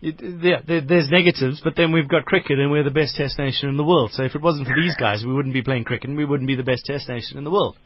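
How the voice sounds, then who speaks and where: A man's voice: mid-range voice, fast, few pauses, no echo, muffled recording. A man hosting a radio sports show, asking casual questions in a studio. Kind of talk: radio interview.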